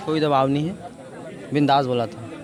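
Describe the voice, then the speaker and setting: A man's voice: mid-range voice, steady tone, normal speed. A man with a Chhattisgarhi accent giving short, plain colloquial answers as an interviewee. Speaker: interviewee from a victim's family. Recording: outdoor recording with crowd noise. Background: crowd.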